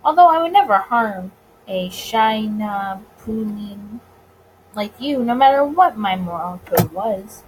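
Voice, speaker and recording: A woman's voice: medium pitch, lively intonation, slow, background noise. A woman voicing a suave, smug male bootlegger in a visual novel, courteous, wordy and self-assured. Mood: smooth, assured. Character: suave, smug, teasing male gangster bootlegger of 1920s America.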